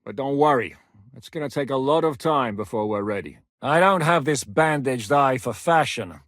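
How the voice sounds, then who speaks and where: An actor playing a gruff, blunt one-eyed male commander who swears freely and jokes dryly in a video game. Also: medium pitch, animated, normal speed, a bit bright, no room sound, studio quality, close to an everyday voice. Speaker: military commander.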